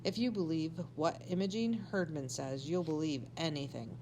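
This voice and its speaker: A woman's voice: deep voice, moderate pace, warm tone, a little hiss or hum, lightly acted. A woman voicing a sensible, slightly weary storybook mother who dismisses her children's notions matter-of-factly. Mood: weary, dismissive.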